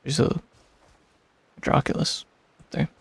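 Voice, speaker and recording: A man's voice: takes it slowly, dry recording. A man streaming a video game with casual, muttered commentary, sometimes reading text aloud.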